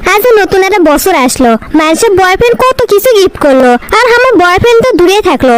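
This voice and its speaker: A woman voicing a boy-crazy, gullible young college girl in a comic cartoon, dramatic and excitable, with a northern Bangladeshi accent. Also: very high voice. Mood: lovelorn, complaining. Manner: dramatic, self-pitying and boastful. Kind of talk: comic cartoon dialogue in rural dialect.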